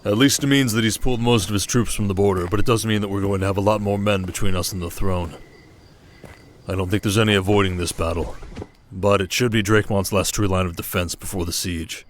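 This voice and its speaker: A man playing a steady, protective desert king in an ASMR audio drama, speaking in a measured, intimate way. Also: fairly low pitch, slight background noise, lightly acted. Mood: concerned, tender.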